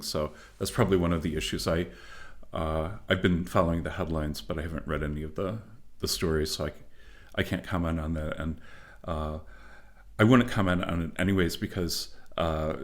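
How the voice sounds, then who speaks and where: Deep-voiced man, slow and thoughtful. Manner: thoughtful, measured, many pauses and fillers. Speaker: guest, philosopher and author. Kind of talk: podcast interview.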